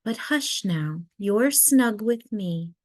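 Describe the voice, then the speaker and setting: A woman voicing a gentle, reassuring mother polar bear from a storybook, soothing and unhurried. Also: medium pitch, expressive, no room sound, voice pushed up. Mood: hushed, soothing.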